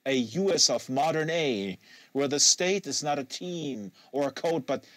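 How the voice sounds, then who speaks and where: A man narrating literary fiction aloud in an expressive, deliberate manner with emphatic phrasing. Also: a little high, dry recording. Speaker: audiobook reader hosting a live reading series.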